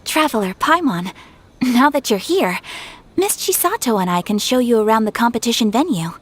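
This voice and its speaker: An actress playing a gentle, modest young noblewoman in a video game, with formal, polite delivery. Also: fairly high pitch, lively intonation, moderate pace, slight background noise, natural voice. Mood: gracious.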